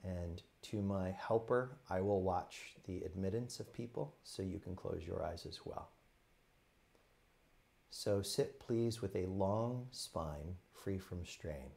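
A man leading a guided meditation as a teacher, speaking gently and unhurriedly in short phrases with pauses. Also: low voice, vocal fry.

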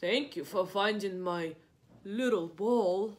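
A woman voicing a huge, sad, lonely whale from a picture book, with loud, slow, drawn-out words. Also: medium pitch, lightly acted. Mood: big, puzzled.

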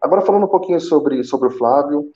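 A man's voice: fairly high pitch, animated, fast. A man in his thirties, a senior call center manager, telling his career story on a live video call through a headset, earnest and often restarting with repeated words. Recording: muffled roomy video call audio.

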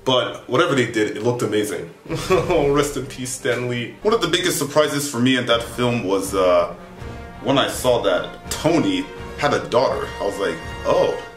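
A young man talking casually to camera in an animated, rambling, exclamatory way, as a vlogger giving a review. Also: mid-range voice, normal speed. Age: young adult. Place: small indoor bedroom with bunk beds. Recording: echoey room, music behind. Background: music.

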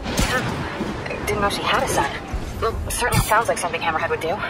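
A woman's voice: medium pitch, a little fast, loud music behind the voice, close to an everyday voice. An American woman voicing a dry, practical young confidante of a superhero in a video game, wry and matter-of-fact. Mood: wry, surprised.

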